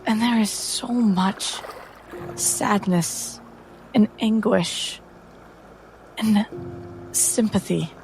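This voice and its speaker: A woman's voice: medium pitch, extremely slow, pauses often, darkish tone, a little hiss or hum. A woman playing a tabletop game, narrating her character dramatically in the third person in broken phrases. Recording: music behind the voice.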